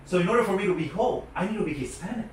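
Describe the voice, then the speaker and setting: A man's voice: sits high, lively intonation, racing. Guy asking interview questions, stumbles over starts, far off mic, lots of echo. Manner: hesitant, repeats words when starting. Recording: very echoey room, distant mic, faint noise.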